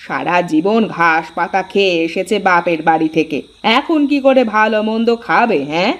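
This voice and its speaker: A woman's voice: fairly low pitch, moderate pace, lightly acted. A woman voicing a proud elder sister-in-law in a village cartoon, with a pointed, emphatic way of talking. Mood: scornful.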